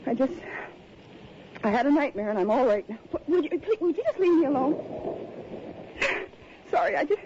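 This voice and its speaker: An actress in a radio drama playing a polite, troubled city woman who speaks haltingly, on a dull, hissy recording. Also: high-pitched, big pitch swings. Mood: distressed. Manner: hesitant, halting. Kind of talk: radio drama acting, naturalistic.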